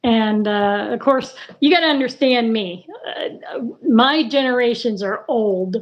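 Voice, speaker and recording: Slow-talking woman in her sixties. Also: fairly high pitch. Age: 60s. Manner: unhurried, rambling. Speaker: guest. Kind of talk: casual livestream chat. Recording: dull, lo-fi call audio.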